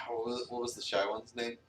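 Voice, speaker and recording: A man's voice: mid-range voice, flat delivery, normal speed, fairly bright tone, slight background noise. A man streaming a visual novel with a co-host, chatting casually between stretches of reading aloud. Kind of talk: casual chat and dramatic reading. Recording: echoey room, distant mic.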